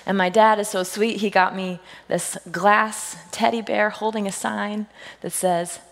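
Husky woman preaching in a big hall. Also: mid-range voice, unhurried. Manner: playful storytelling, self-deprecating jokes, lively quoted voices. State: husky voice today. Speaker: senior pastor. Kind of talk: humorous sermon to a congregation. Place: church sanctuary. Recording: long reverb of a large hall.

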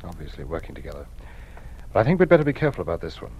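A man's voice: deep voice, sing-song, rapid-fire, darkish tone, husky, lo-fi sound, exaggerated voice. An actor playing a stern, patronizing male official who runs a hearing, in a 1950s radio drama.

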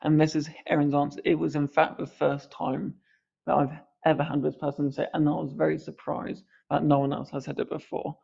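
A man's voice: a little high, level tone, brisk, creaky, mellow, slightly rough, lo-fi sound. A man hosting a podcast, speaking earnestly with a mild stammer on the odd word. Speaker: podcast host. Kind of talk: reflective podcast commentary.

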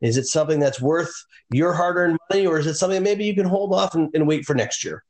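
A man's voice: fairly high pitch, fast, darkish tone, roomy sound. A man co-hosting a casual wrestling podcast, rambling with lots of fillers and restarts. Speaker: podcast co-host. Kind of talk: casual chat.

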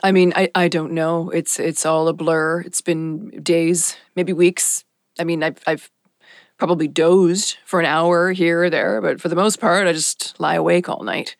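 A woman's voice: lowish voice, fairly even intonation, gravelly, no echo, studio quality. A woman playing a sleepless, troubled police officer who talks in a hesitant, evasive way in an audio drama. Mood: weary, confessing. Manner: hesitant, halting, stammering. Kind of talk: naturalistic audio drama acting.

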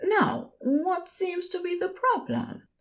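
A woman voicing a patient male nurse in a story, speaking politely and formally in a calm, soothing phone manner. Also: extremely high pitch, slow, pitched above the performer's own voice, exaggerated voice. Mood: soothing, gentle.

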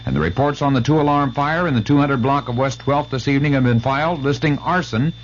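A man's voice: medium pitch, fairly quick. An American man reading local radio news in a formal, scripted style. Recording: dull noisy 1970s AM radio recording.

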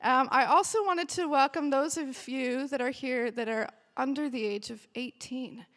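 A woman's voice: high-pitched, moderate pace. A woman in her forties, a worship director, giving a chatty and emotional welcome to a church retreat in a large hall. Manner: warm, chatty and emotional, with bursts of cheering. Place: large hall with a live audience. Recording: long hall reverb.

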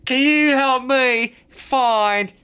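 A man's voice: very high-pitched, very slow, bright, forceful tone, clean tone, voice pushed up, full character voice. Man putting on a drunken Australian bloke. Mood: pleading.

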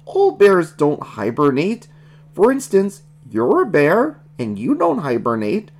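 Storybook frog kid, played by a woman: high, lively, thoughtful leader who reasons things out. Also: heavily acted. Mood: pondering. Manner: reasoning, measured. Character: thoughtful, sensible little boy frog leading friends.

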